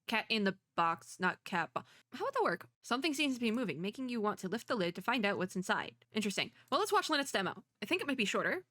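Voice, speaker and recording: A woman's voice: medium pitch, bright, forceful tone, no echo, studio quality. Chatty young woman streamer. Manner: chatty, enthusiastic, informal. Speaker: streamer reacting to game trailers. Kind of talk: casual live reaction commentary.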